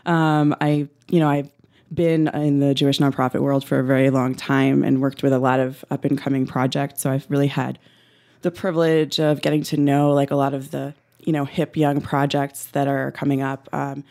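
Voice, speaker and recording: A woman's voice: a bass voice, level tone, normal speed, mellow. A woman with an American accent giving casual, wry, self-deprecating answers as a guest in a radio studio. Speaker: interview guest, writer and nonprofit consultant. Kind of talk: casual conversational interview answers.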